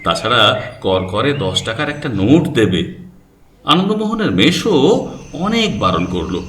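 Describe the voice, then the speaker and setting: A man's voice: mid-range voice, big pitch swings, unhurried, a bit bright, slight background noise. A young man narrating his own story in the first person, with a confident and skeptical manner. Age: young adult. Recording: distant echoey voice with background music.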